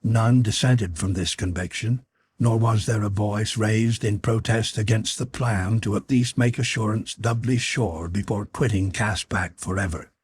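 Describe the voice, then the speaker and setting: A man narrating a classic adventure novel as an audiobook, in a measured, dramatic storytelling manner. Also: fairly low pitch, steady tone, a little mellow, husky.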